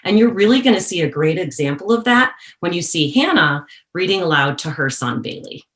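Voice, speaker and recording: A woman giving a prepared educational presentation as a workshop presenter, explaining deliberately and repeating key phrases for emphasis. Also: fairly low pitch, animated, fairly bright tone, echoey room.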